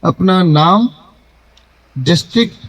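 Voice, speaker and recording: Male college host on handheld mic; brisk, high voice, long hall reverb. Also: animated, a bit bright. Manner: brisk, repeats short praise. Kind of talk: addressing a hall of students. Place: large hall.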